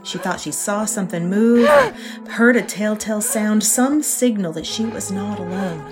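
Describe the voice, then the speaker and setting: A woman's voice: mid-range voice, moderate pace. A woman giving scripted narration for a fantasy audio drama. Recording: music behind the voice.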